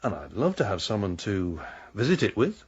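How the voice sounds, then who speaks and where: An actor playing a smooth, flattering male vet in a radio drama, soothing and suave in his flirting. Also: lowish voice, normal speed, highs cut off, full character voice. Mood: flirtatious.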